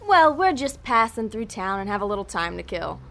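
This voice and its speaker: A woman playing a bossy, impatient demon posing as a talent developer in an audio comedy, brisk and sarcastic. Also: mid-range voice, sing-song, gravelly, fairly bright tone. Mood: smooth, scheming. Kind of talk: audio comedy drama acting.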